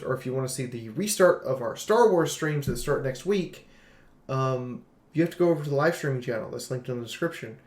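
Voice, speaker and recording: Male game reviewer, mid-pitched, rambling and casual with animated slangy asides, recorded in an echoey room. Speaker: video game reviewer and streamer. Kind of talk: casual unscripted game commentary.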